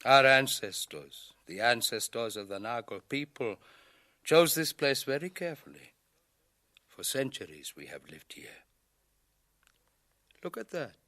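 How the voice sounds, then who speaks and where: Proud village headman, bright forceful voice. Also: mid-range voice, sing-song, measured pace, pauses often, full character voice. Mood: solemn, reverent. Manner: declamatory, rhetorical questioning. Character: proud village headman, solemn, challenging, father figure. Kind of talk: 1980 radio drama acting.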